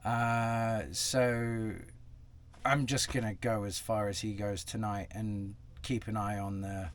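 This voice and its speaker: A man's voice: lowish voice, little pitch movement, takes it slowly, slight background noise. A young man talking casually to camera in a travel vlog, rambling with lots of fillers and restarts, sitting in a truck cab. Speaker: travel vlogger. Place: inside a parked truck cab.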